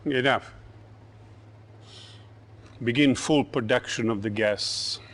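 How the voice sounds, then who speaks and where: A ruthless Soviet dictator in a strategy game cutscene. He speaks at a middling pitch, curt and commanding, with cold menace in his orders. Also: takes it slowly, fairly bright tone. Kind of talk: video game cutscene acting.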